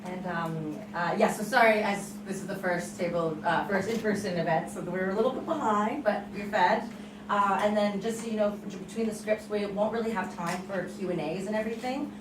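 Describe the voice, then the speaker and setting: A woman giving an informal, halting welcome as co-host of an event. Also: medium pitch. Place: indoor event room, recorded from afar. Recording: echoey, distant room recording with noise.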